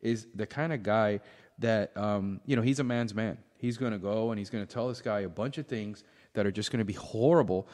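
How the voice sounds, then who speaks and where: Man, fairly low voice, sports co-host, casual, halting explanations, reverberant room. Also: darkish tone. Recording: echoey room with long reverb.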